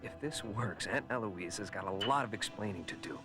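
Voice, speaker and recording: An actor playing an eager eighteen-year-old hero in a cartoon, earnest and expressive. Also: male voice, on the low side, a little fast, over music, close to an everyday voice. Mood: wondering. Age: young adult. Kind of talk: animated series voice acting.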